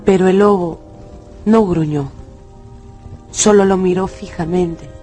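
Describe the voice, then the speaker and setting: A male narrator telling a dramatised story for radio in a measured, expressive way. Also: high-pitched, soft background music.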